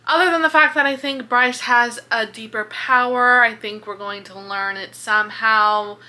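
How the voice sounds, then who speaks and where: A woman book vlogger chatting casually in an expressive, informal way with plenty of swearing. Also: a little high, moderate pace. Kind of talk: casual reaction chat to camera.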